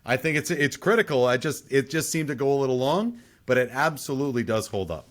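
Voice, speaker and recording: Male podcast co-host, average pitch. Casual reviewer who hedges and restates his opinions. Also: animated, fast talker. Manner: hedging, repeats himself for emphasis.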